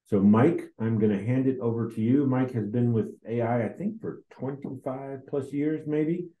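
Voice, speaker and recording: A man's voice: medium pitch, moderate pace, warm tone. A middle-aged man casually hosting a webinar over a video call, speaking informally. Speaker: webinar host. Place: indoor room on a video call. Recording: roomy, echoey video-call audio.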